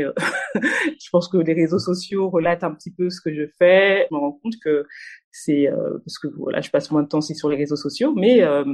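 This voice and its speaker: Illustrator lady in her 40s chatting in an interview, talks fast, lots of euh and restarts. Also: low voice, a little mellow. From Congo (Kinshasa), raised in Normandy, France. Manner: fast, many hesitations and restarts. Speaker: illustrator and animation studio founder, guest. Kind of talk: interview answers, conversational.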